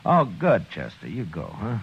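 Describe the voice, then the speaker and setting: A gruff, weary frontier marshal with a very deep voice that moves up and down a lot. It comes from an old radio western, muffled and noisy. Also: male voice, huge pitch swings, a little slow, exaggerated voice. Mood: weary, dry. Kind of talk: 1950s radio western acting.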